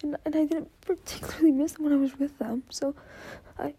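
Young adult woman, high, creaky, whispery voice; tearful, stammering, apologetic intimate delivery to a partner. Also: steady tone, mellow. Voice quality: breathy, whispery voice. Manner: halting, apologetic, stammering repetitions. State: anxious, tearful, close to crying. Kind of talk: intimate whispered monologue, audio roleplay.

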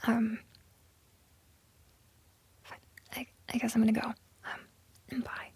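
A woman acting a flustered, awkward young lover in an intimate audio roleplay, with a breathy, hushed voice and halting, stammering delivery. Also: on the high side, level tone, no room sound, full character voice. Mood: deflated. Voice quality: breathy, whispery.

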